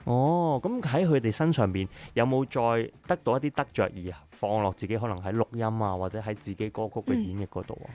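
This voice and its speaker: A man's voice: medium pitch, fairly quick, few pauses, a little mellow. Hong Kong man hosting a radio interview. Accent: Hong Kong Cantonese. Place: small radio studio, indoors. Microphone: studio microphone on boom, through face mask. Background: music in the background.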